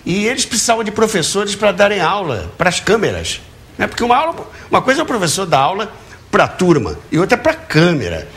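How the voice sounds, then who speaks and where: An older man with a Brazilian accent, reminiscing as an interview guest in an animated, emphatic way. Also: sits high, a little fast. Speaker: talk show guest, radio and TV educator.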